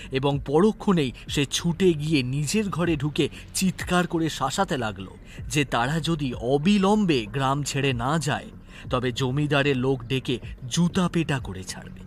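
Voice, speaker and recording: A man narrating a scripted audio story in an expressive storytelling manner. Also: medium pitch, measured pace, a little hiss or hum. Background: music.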